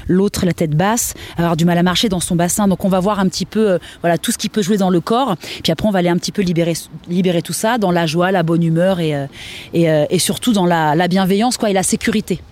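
A middle-aged woman giving a candid personal account in an earnest, halting way, thinking aloud. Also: on the low side, a little mellow. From Portuguese family background. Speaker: interview guest, dancer and choreographer.

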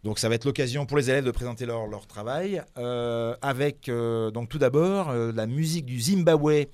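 A man's voice: mid-range voice, normal speed, a little mellow, dry recording. A man whose first language is not French, presenting a cultural program in a radio interview, hesitant with many fillers and restarts.

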